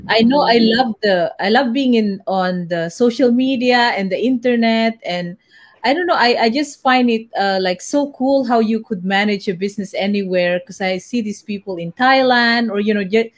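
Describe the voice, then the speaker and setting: A woman from the Philippines, a guest on a live video talk, chatting in a lively casual way. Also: fairly high pitch, normal speed. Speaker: guest, business owner. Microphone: video call.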